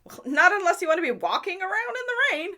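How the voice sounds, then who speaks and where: A woman's voice: extremely high pitch, big pitch swings, fast talker, bright, forceful tone, exaggerated voice. A woman playing an exasperated, bossy young leader of travelers in an audio drama. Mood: reasoning. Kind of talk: scripted audio drama acting.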